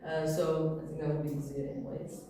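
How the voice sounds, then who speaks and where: A woman whose first language is Persian speaks informally to a workshop group, relaxed and full of fillers. Her voice is mellow. It is caught from a distance in a very echoey room. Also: sits low, fairly quick. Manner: relaxed, explains with many fillers.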